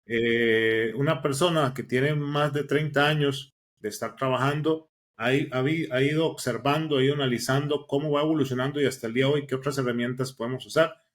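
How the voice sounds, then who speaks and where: A man's voice: mid-range voice, brisk, few pauses. A man hosting a podcast, welcoming listeners enthusiastically with frequent "eh" fillers. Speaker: podcast host. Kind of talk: casual podcast chat.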